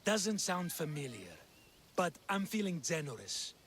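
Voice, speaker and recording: A man's voice: fairly high pitch, sing-song, unhurried, a bit bright. An actor playing a callous male slave master who belongs to a cult, with a scornful, dismissive manner.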